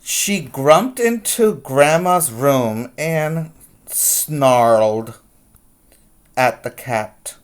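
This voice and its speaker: Slow, deliberate teacher reading aloud. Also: medium pitch, lively intonation. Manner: deliberate, emphatic on story words, explains to children. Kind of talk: read-aloud storytelling for preschoolers, with explanatory asides.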